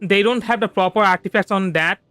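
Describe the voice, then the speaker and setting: A man with a notably high, bright voice gives casual gameplay commentary on a livestream. He rambles, circling back to repeat and restart phrases as he goes. Light music plays behind him. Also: brisk. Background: soft background music.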